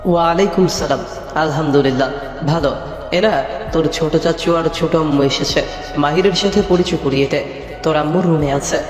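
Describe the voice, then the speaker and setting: Casting: welcoming middle-aged family father, played by a man, slightly high voice, echoey, music beneath. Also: normal speed, lightly acted. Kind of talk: audio story drama. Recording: echoey reverberant hall, music underneath.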